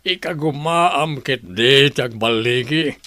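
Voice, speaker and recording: A man's voice: medium pitch, slow pace, pushed and bright, no echo. A man playing a middle-aged father and company owner giving emphatic advice in a radio drama. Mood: pressing. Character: father and company owner, slow, urging, ambitious.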